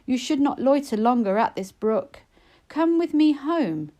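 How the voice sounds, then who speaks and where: A woman's voice: high voice, sing-song, measured pace, warm tone, voice pushed up. A woman voicing an anxious young maiden who urges her sister on with caution in a narrative poem. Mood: anxious pleading. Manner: urging, cautious.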